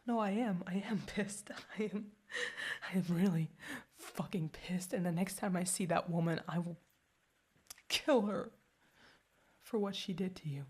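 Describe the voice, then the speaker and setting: A woman's voice: lowish voice, measured pace, long pauses, darkish tone, breathy edge. A young woman playing a protective girlfriend who is furious and hurt, mostly whispering with stumbling, intense outbursts in an ASMR roleplay. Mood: angry, shocked.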